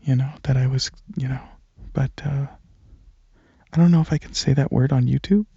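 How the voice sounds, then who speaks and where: A young man giving a soft-spoken, casual ASMR ramble, halting and hesitant, often slipping into breathy whispers. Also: medium pitch, steady tone, dry recording, highs cut off. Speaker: ASMR video creator and channel host.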